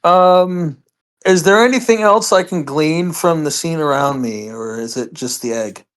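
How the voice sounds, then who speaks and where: Slow, hesitant tabletop player with a mid-range voice. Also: medium pitch. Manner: hesitant, thinking aloud. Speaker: player in a tabletop role-playing game. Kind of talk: casual tabletop roleplay chat.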